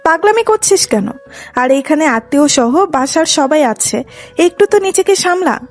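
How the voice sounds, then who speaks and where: A woman's voice: high-pitched, animated, normal speed. A woman playing a bossy young elder sister who chides and teases in a dramatised love story. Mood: chiding. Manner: chiding. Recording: music behind the voice.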